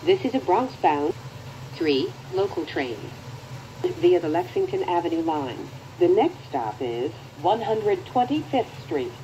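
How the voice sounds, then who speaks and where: American lady doing subway announcements, mellow voice, really swoopy intonation, train noise behind. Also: lowish voice, very animated, unhurried, long pauses, lo-fi sound. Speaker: transit announcer.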